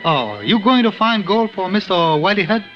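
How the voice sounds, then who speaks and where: High-pitched, excitable hotel servant. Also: male voice, moderate pace, highs cut off, faint music behind. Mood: eager, curious. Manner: broken English mixed with florid formal phrases. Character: eager, naive, deferential male hotel servant. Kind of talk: 1950s radio western acting. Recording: band-limited vintage radio recording.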